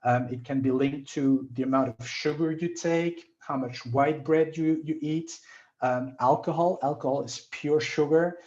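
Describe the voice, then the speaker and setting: Belgian man in his fifties, mellow. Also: on the high side, fairly even intonation, unhurried, lo-fi sound. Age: 50s. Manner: earnest, explanatory, slightly non-native phrasing. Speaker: guest speaker. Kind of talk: presentation on a video call. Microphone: video call.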